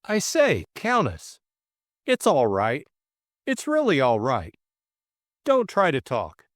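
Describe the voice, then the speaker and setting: A man's voice: fairly high pitch, wildly swooping pitch, takes it slowly, no echo, clean studio sound, voice pushed up, heavily acted. A man voicing an anxious, besotted young Englishman who fusses and gushes in an audiobook. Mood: anxious, fussing. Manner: gushing, fatuous, solicitous. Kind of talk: audiobook character voice.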